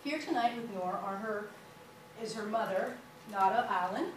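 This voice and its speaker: A woman's voice: medium pitch, normal speed. Woman presenter at a board meeting, reads steadily, far off in a reverberant room with background noise. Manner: reads aloud steadily, with small slips and fillers. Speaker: school official presenting an award. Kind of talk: reading a formal introduction at a meeting. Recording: echoey hall, picked up from far off, with noise.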